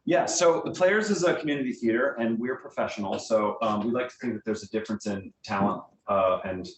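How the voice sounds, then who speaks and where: A man answering a group's questions in a conversational, enthusiastic way, as a guest theater director. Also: brisk. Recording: roomy, distant mic, faint rumbling noise.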